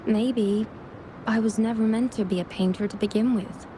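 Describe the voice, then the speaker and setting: A woman's voice: fairly even intonation, normal speed, dark tone, close to an everyday voice. A woman playing a wistful, remorseful rival painter in a video game, confessional and self-deprecating. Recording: clear rumbling background noise.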